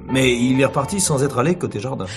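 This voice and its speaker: An actor playing a cool, guarded stage actor who is politely answering questions in a radio mystery play. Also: male voice, mid-range voice, animated, quick pace, over music, lightly acted. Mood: cooperative, guarded. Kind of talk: French radio mystery play acting in 1950s manner.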